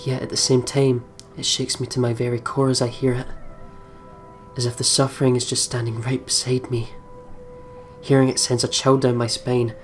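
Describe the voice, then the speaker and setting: A woman's voice: very deep voice, flat delivery, normal speed. A woman hosting a let's play, reading the game's narration dramatically and expressively with candid, profane asides. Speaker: let's play video host. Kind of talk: voiceover reading of game narration with candid reactions. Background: game music.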